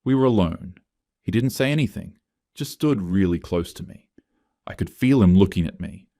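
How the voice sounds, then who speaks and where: A man plays an upset woman from an office drama story. She speaks in a deep register, anxious and halting, as if confiding something that frightens her. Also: animated, gravelly, dark tone, no room sound, clean studio sound. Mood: uneasy, confiding. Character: upset, fearful young female office complainant.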